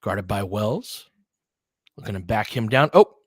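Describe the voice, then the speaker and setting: A man's voice: fairly low pitch, animated, unhurried, no echo. Man calling casual basketball play-by-play, dry sound. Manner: clipped phrases, informal asides. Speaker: play-by-play commentator.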